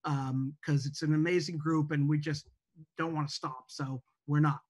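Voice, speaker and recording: A woman's voice: low voice, fairly even intonation, moderate pace, a little mellow, husky, no room sound. A woman who writes for television, making casual remarks on a video call. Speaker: show writer and producer. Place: home room, video call.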